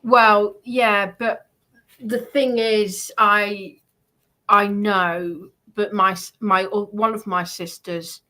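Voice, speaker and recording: Slow-paced woman in casual conversation. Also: mid-range voice, bright, forceful tone.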